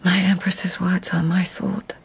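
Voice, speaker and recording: A proud, devoted lady of the old Japanese court in a radio play. Her voice is breathy and soft-edged. She chooses words with formal, lyrical precision. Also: fairly low pitch, level tone, measured pace, exaggerated voice. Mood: hushed, adoring. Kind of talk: radio drama acting, poetic narration.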